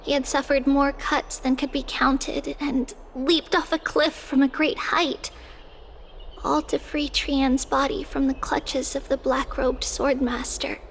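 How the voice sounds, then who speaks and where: High-voiced, solemn girlish oracle. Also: little pitch movement, slight background noise, poor audio. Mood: subdued. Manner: measured, earnest, explanatory. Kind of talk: video game acting.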